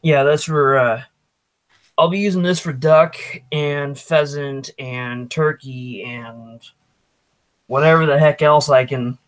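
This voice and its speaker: A man's voice: on the high side, steady tone, takes it slowly, fairly bright tone. A man hosting a casual livestream, talking off the cuff and thinking aloud as he goes. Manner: off-the-cuff, thinking aloud while reading chat. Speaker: livestream host.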